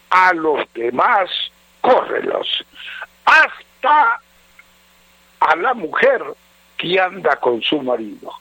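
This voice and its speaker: A man speaking as an astrologer and advice host on a radio show, with an emphatic, dramatic delivery in short, drawn-out phrases. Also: high voice, dry recording, lo-fi sound. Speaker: astrologer and advice host answering listeners' letters. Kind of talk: radio advice show, reading letters aloud and answering.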